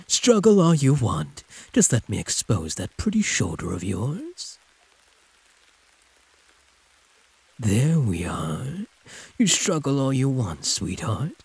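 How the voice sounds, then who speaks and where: Man playing a very husky, possessive male werewolf. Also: medium pitch, huge pitch swings, slow, no echo, heavily acted, poor audio. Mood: predatory, hungry. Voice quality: husky, breathy. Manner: drawling, teasing pet names, predatory purr. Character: possessive, growling male werewolf prisoner, huge and teasing. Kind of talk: intimate scripted audio roleplay.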